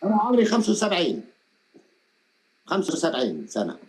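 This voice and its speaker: A man's voice: high voice, huge pitch swings, quick pace. A man joking and teasing through casual live-stream banter.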